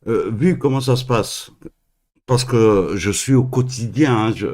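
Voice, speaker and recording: Male call-in host from Algeria; unhurried, halting delivery full of fillers. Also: mid-range voice, darkish tone, slight background noise. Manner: hesitant, halting, many fillers and restarts. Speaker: host of a live call-in stream. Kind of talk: live call-in talk.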